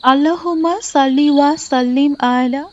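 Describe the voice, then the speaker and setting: A woman playing a gentle, devout, long-suffering mother in a moral cartoon, tender and preachy. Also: high-pitched, normal speed, close to an everyday voice. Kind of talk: Islamic moral cartoon voice acting. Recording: muffled, with music behind.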